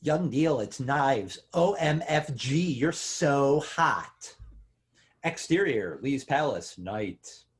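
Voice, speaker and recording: A man reads out scene headings at a script table read. His voice is medium in pitch and husky, announcing each short phrase as scripted. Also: animated, takes it slowly. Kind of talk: scripted screenplay reading.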